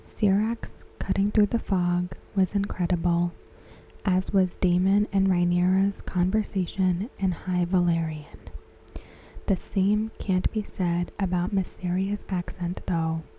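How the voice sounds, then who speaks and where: A woman speaks in a very soft, mellow ASMR hush, giving her commentary gently. Her voice is breathy and whispery, with a slow, unhurried delivery. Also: on the low side, flat delivery, low-quality recording. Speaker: ASMR content creator reviewing a TV episode.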